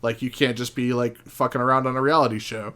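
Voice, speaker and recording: A man in a casual podcast discussion, recounting stories in an animated, profane way as a guest. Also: mid-range voice, fast.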